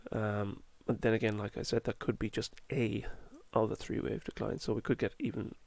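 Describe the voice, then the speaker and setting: A man losing his voice, talking through market charts in a halting way, with many fillers and self-corrections. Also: fairly low pitch, little pitch movement, creaky, a little mellow, dry recording. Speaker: market analyst. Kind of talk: chart walkthrough.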